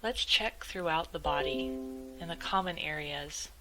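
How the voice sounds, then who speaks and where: Low-voiced woman guiding a meditation. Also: unhurried, bright, forceful tone, soft background music, low-quality recording. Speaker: meditation guide. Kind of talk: guided meditation, calm scripted instruction.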